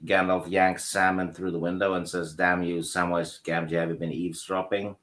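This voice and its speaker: A man talking as a guest in a casual movie chat, hesitant with ums and pauses. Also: deep voice, level tone, a bit bright.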